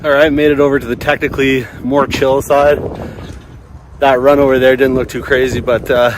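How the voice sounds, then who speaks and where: A man's voice: little pitch movement, moderate pace. A man chatting casually outdoors for a vlog, rambling in a laid-back, slangy way. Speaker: vlogger. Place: outdoors at a ski area. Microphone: handheld or worn action camera. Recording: outdoor wind and rumbling noise.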